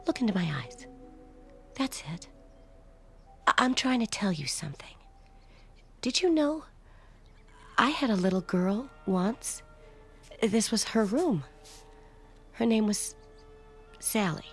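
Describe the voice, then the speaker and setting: An actress playing a middle-aged, grieving and protective foster mother, gentle and emotional, in a radio drama. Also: mid-range voice, animated, slow, pauses often, slightly rough, soft background music, full character voice. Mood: tender, grieving. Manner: gentle, emotional, breaks into hushed tones.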